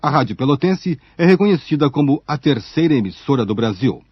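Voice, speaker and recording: A man's voice: mid-range voice, sing-song, brisk, husky, no echo. A Brazilian man reading scripted narration for a radio documentary. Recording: muffled phone-like shortwave radio archive audio.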